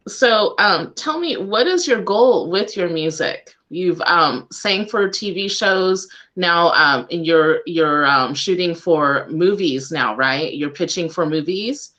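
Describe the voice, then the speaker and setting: A woman with a slightly low voice hosts a relaxed, conversational interview. She is affirming and supportive, pausing and restarting a little as she puts her questions together. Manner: encouraging, affirming, halting when asking questions. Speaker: radio show interviewer, host.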